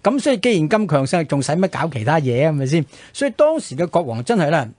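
A man's voice: a little high, sing-song, quick pace, a little mellow, dry recording. A man with a Hong Kong Cantonese accent co-hosting a radio history show, explaining conversationally and often checking in with rhetorical questions.

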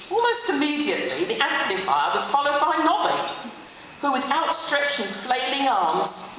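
A middle-aged woman with an English accent gives a theatrical, dramatic book reading aloud to an audience. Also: medium pitch. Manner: expressive, theatrical. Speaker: reader. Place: large hotel function room, on stage. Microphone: stand mic at lectern through PA, filmed from audience. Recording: very echoey hall, audience noise.

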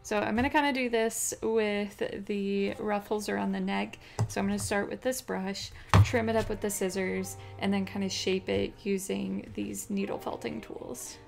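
A woman's voice: mid-range voice, fairly even intonation, moderate pace, gravelly, hardly any pauses, music in the background. A young woman hosting a casual crochet tutorial at home, chatty and upbeat, laughing often. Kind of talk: casual step-by-step craft tutorial. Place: home craft room, indoors.

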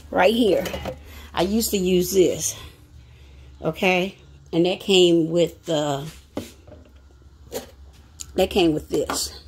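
Low gravelly woman rambling in her kitchen. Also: darkish tone. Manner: rambling, chatty, repeats herself. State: short of breath. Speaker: vlogger. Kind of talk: casual home cleaning vlog. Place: home kitchen, indoors. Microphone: phone camera, speaking off-screen.

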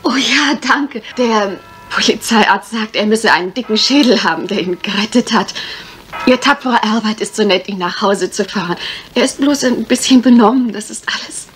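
A woman's voice: mid-range voice, moderate pace, a bit bright, faint music behind, lightly acted. An actress playing a bashful but determined young woman in a German radio crime drama, hesitant and confiding. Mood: flustered, happy.

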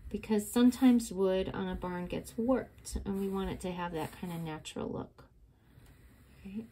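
A woman's voice: mid-range voice, gravelly, warm tone, a little hiss or hum. A middle-aged woman teaching a step-by-step drawing lesson to children, patient and encouraging, recorded at her desk on the camera mic. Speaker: art teacher.

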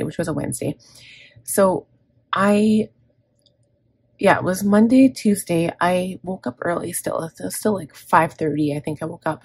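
Gravelly, low-voiced woman chatting casually. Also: a little mellow, no echo. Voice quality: gravelly, scratchy. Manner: rambling, self-correcting, candid. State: scratchy, gravelly throat from inhaler. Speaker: planner vlogger. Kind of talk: casual plan-with-me chat. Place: indoors at a desk.

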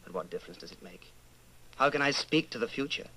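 Middle-aged secret rebel, mid-range male voice, anxious, brooding, halting, radio play monologue. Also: mid-range voice, lively intonation, sharp tone, rough recording. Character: brooding, fearful 39-year-old man, secret rebel. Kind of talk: old-time radio dramatization, interior monologue.

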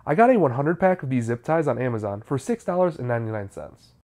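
Man with lively pitch. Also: fast. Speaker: how-to video host. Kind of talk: scripted how-to narration.

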